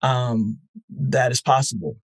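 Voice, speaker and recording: A man's voice: medium pitch, fairly even intonation, slow, slightly rough, no room sound, poor audio. Earnest man with a dry close voice. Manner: earnest and encouraging, with frequent ums. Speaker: guest, festival founder and life coach. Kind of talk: casual interview chat.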